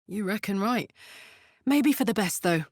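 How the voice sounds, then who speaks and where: A brash, big-hearted warrior woman from the hells for a video game. Her delivery is slow but highly animated, swinging widely in pitch. She is blunt and sweary yet wears her feelings openly, and the recording is close and dry. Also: dry recording. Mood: brash, casual. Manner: earthy, blunt, sweary, emotionally open. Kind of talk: video game character voice lines.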